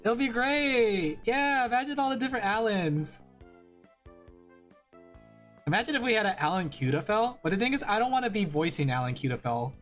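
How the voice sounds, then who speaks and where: A man's voice: high voice, expressive, moderate pace, a bit bright, faint music behind. A man streaming as a vtuber, chatting casually with his viewers while drawing, rambling, laughing often and putting himself down.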